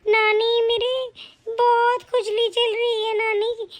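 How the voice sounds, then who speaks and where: A woman's voice: extremely high pitch, little pitch movement, slow, pitched above the performer's own voice. A woman voicing a timid young girl who pleads and whines in a family skit. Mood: whiny. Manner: whiny, pleading. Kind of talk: comic family skit acting.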